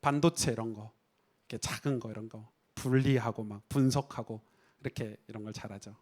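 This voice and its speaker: A man's voice: medium pitch, steady tone, brisk, a little mellow. A man preaching a Sunday sermon as a pastor in a church, talking conversationally and asking the congregation rhetorical questions. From Korea. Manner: conversational, rhetorical questions, acts out quoted voices.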